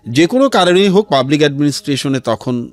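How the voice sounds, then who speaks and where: A middle-aged man with a Bangladeshi accent telling his life story as an interview guest, in a measured way with pauses and some English words mixed in. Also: on the high side, expressive. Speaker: interview guest, civil servant. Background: background music.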